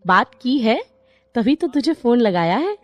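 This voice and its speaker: A woman voicing a brisk, chatty, matter-of-fact female relative who arranges matches in an animated story. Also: fairly high pitch, dry recording, muffled recording. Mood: chatty, reassuring. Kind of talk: animated Hindi moral story dialogue.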